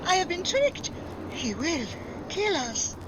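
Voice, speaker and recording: A woman's voice: very high voice, very animated, slow, noisy background, heavily acted. A woman playing a gullible, passionate young French spy with a French accent, theatrical and pleading, in 1940s radio melodrama style. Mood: shocked, anguished.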